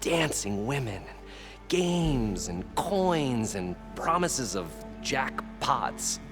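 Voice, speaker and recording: A man's voice: mid-range voice, sing-song, extremely slow, creaky, heavily acted. An actor playing an eager inventor and showman, with dramatic, flowery storytelling in a video game. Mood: wonder, excited. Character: eager, theatrical male inventor and showman chasing treasure. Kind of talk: video game cutscene acting. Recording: music behind the voice.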